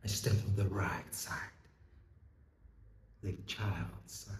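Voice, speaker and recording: A man acting a vengeful, self-righteous police interrogator in an intense theatrical monologue, shifting between hushed menace and outbursts. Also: mid-range voice, close to an everyday voice. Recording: echoey hall, long reverb, background noise.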